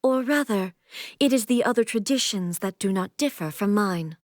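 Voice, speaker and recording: An actress voicing a solemn, aloof immortal shogun and swordswoman in a video game, with formal, measured, stately delivery. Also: medium pitch, lively intonation, no room sound, clean studio sound, close to an everyday voice. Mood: proud, instructive. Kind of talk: video game character voice lines.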